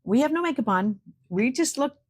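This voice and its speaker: A woman co-hosting a podcast from her hospital bed, talking casually in a deliberately quiet voice. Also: high voice, lively intonation. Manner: hushed quiet voice. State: recovering patient in bed. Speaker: podcast co-host. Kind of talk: casual chat. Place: hospital room. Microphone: camera setup at bedside.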